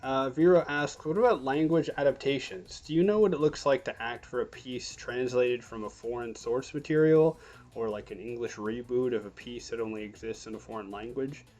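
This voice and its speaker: Mid-pitched male host reading questions. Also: normal speed, a little mellow, muffled recording. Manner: brief agreeing responses, reads out questions. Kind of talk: casual chat, reading viewer questions.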